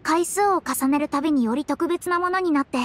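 A woman's voice: high voice, brisk, a little hiss or hum, natural voice. A woman voicing a refined, composed young female astrologer in a video game, speaking politely and articulately. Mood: fascinated, composed. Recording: music behind the voice.

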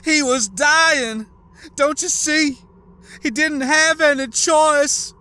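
A man voicing a grieving, half-mad woman scientist who snarls coldly and bursts into shouts. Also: high voice, unhurried, pitched above the performer's own voice. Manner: cold, snarling, breaking into shouts. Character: grief-crazed, bitter woman scientist.

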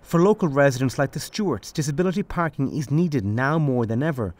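A young man, a TV news reporter reading scripted narration in a measured, formal way. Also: mid-range voice, lively intonation, darkish tone.

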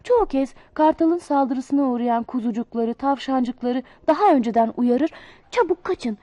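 A woman reading a children's fable aloud in an expressive, sing-song storybook manner. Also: high voice, moderate pace, no echo.

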